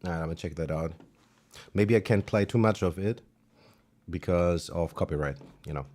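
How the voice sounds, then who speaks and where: A man with a German accent chatting casually on a music livestream, relaxed and self-deprecating, with plenty of fillers. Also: sits low, moderate pace.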